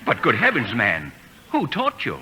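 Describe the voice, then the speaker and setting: Flustered college dean, voice all over the place, sharp, a bit hissy, old radio comedy. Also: male voice, medium pitch, wildly swooping pitch, normal speed, full character voice. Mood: incredulous. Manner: stammering, polite, exclamatory. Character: flustered, incredulous male university dean. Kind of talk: 1940s radio comedy acting. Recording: noisy old radio broadcast recording.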